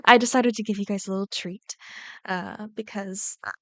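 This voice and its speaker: A woman's voice: medium pitch, steady tone, moderate pace, no room sound. A woman chatting casually to her viewers in a gushing, playful, rambling way. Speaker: YouTube ASMR voice actor.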